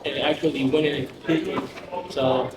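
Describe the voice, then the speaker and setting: A man's voice: medium pitch, little pitch movement, normal speed. A man in his thirties from Jamaica, a basketball player, answering press questions in a matter-of-fact way. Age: 30s. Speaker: national team basketball player. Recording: roomy, crowd noise behind.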